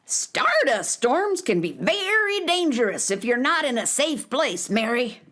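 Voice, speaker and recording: A woman's voice: high voice, big pitch swings, measured pace, fairly bright tone, full character voice. A woman playing a fussy, boastful, hard-of-hearing elderly alien in a children's space radio play. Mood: urgent warning. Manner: boastful, mishears what is said.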